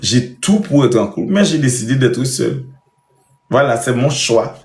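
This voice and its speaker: A man's voice: medium pitch, expressive, a little slow, a little mellow. A man chatting casually as host, with a theatrical, boastful manner. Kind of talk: casual chat. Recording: roomy, echoey sound.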